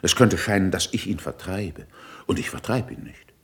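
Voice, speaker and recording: An actor playing a proud older statesman in a classical radio verse drama, with measured, emphatic declamation. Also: low voice, a bit bright, slightly rough, heavily acted. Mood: composed, conciliatory. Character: proud, worldly older male statesman, critical yet controlled.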